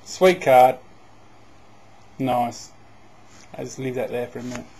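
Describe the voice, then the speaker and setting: A man talks casually while opening trading card packs, with a mid-range voice. He gets excitable and loud at good pulls. There's a faint hiss on the camera-mic recording. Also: medium pitch, slow. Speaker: card box breaker. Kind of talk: casual running commentary. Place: indoors at a table.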